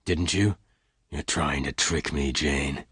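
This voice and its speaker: A man voicing a calm, gruff male gunman who speaks low and quietly. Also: moderate pace, no room sound, studio quality, exaggerated voice. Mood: quiet, hard.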